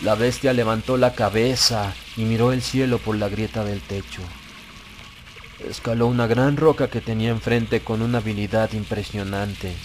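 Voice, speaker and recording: A man narrating a horror story in a slow, deliberate, grave and dramatic way, as for an audiobook. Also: lowish voice, noisy background.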